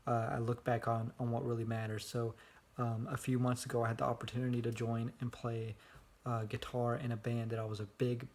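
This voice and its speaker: Monotone young man vlogging. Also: mid-range voice. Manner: monotone, rambling with frequent fillers. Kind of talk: casual self-recorded vlog. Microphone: phone held at arm's length.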